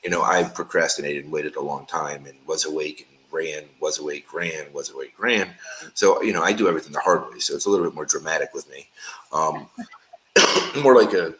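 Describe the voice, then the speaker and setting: A man's voice: extremely low pitch, a little fast, fairly bright tone, low-quality recording. A man hosting a casual interview, supportive and prompting his guest, often checking with "right?". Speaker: podcast host. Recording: faint background noise.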